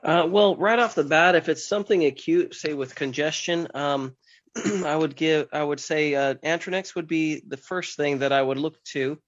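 A man co-hosting a radio health show, giving brief, measured answers with hesitations. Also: a little high, highs cut off. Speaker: radio show co-host, doctor. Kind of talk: casual radio health talk.

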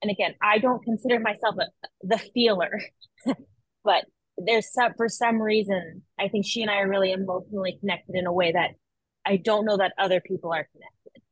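Young woman guest, slightly husky, dry sound, reflective and measured. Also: medium pitch, steady tone, dry recording, rough recording. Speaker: podcast guest, twin. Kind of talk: casual chat.

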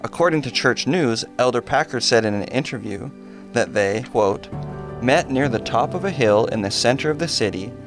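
A man co-hosting a podcast, reading scripted history in an even manner. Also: medium pitch, moderate pace, music in the background. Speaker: podcast co-host.

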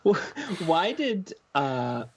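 A man's voice: a little high, sing-song, very slow, over a noisy crowd. A man co-hosting a podcast in casual comic banter, asking mock-indignant questions. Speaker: podcast co-host. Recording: slightly dull, lo-fi sound.

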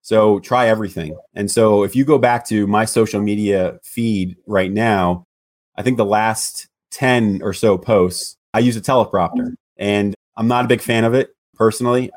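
A man with a loud voice speaking as a podcast guest, relaxed and hesitant, full of "you know"s. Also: on the low side, normal speed. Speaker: guest, loan officer. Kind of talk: casual interview chat.

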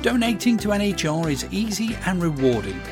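A male announcer reading a scripted charity appeal in an earnest, clear way. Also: high voice, very animated, brisk. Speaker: station announcer. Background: music bed.

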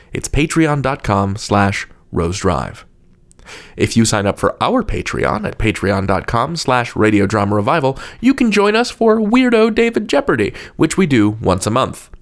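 Guy podcast host reading announcements, kinda wry n playful, really animated pitch. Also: moderate pace. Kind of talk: semi-scripted podcast announcements and credits.

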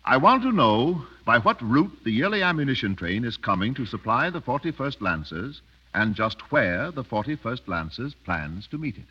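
Suave, menacing villainous khan, swooping intonation. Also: male voice, medium pitch, sing-song, moderate pace, sharp tone, slightly rough, full character voice. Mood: coolly menacing. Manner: urbane, mock-courteous, menacing. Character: suave, cruel Oxford-educated mountain khan, a villain. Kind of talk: 1930s radio drama acting. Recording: dull 1930s radio broadcast.

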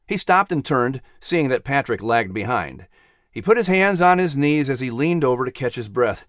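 A man with a medium-pitched voice narrates a children's story as its announcer. His delivery is theatrical and expressive, with lively rises and falls. The recording is close and very dry. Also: moderate pace, dry recording.

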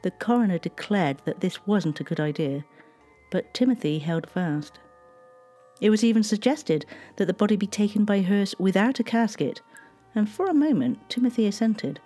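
A narrator giving scripted historical narration in a measured, solemn reading. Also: mid-range voice, animated, mellow, soft background music. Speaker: documentary narrator.

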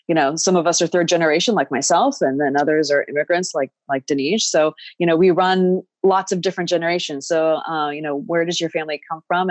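A woman hosting a podcast interview in a friendly, chatty way, laughing easily. Her voice is on the lower side for a woman. The sound is dry and close. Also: no echo. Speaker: podcast host.

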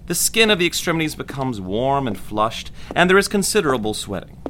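A man's voice: mid-range voice, big pitch swings, sharp tone. A detached, confident doctor played by a man at a 1940s medical school lectern, his intonation rising and falling over a hissy backdrop, in an audio drama. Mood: detached, didactic. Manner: measured, detached, authoritative. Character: confident, clinical 1940s male lobotomist lecturing medical students. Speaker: actor playing a lecturing doctor. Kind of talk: formal scripted medical lecture.

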